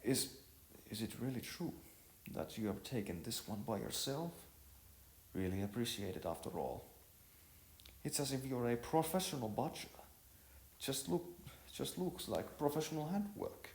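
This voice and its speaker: A man voicing a friendly, gently teasing young woman in an amateur story, with halting, informal speech. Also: medium pitch, animated, voice pushed up, lightly acted. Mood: impressed. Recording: roomy echo with music behind.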